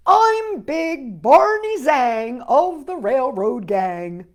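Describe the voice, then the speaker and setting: A woman voicing a boastful male railroad worker in a children's poem, drawn-out and declamatory. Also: high-pitched, sing-song, heavily acted. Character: Big Barney Zang, boastful male railroad gang worker. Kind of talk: dramatic children's poem recital.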